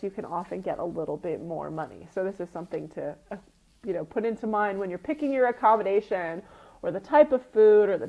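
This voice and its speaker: A woman's voice: medium pitch, a little mellow. A woman with a slightly sniffly, runny-nosed sound gives an informal coaching talk, explaining things conversationally and often checking in with short tag questions. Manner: conversational teacher, often checking in with "right?" and "okay?". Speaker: retreat business coach and group host. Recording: dull lo-fi audio.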